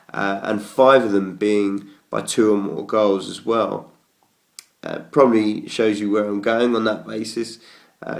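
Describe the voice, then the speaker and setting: A man with an English accent talks through football betting picks while stuffed up with a cold, his nose clearly blocked. His voice sits low and has a gravelly edge. He pauses often with ums and uhs as he works through the stats. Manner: hesitant, many ums and uhs, stats-heavy. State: congested from a cold. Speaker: betting tipster. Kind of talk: football betting tips video.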